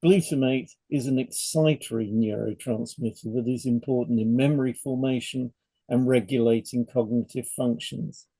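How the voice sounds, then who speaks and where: Gravelly, mellow man reading a podcast script. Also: medium pitch, fairly even intonation, normal speed, no room sound, poor audio. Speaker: podcast co-host, hypnotherapist. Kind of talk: scripted podcast narration, read aloud.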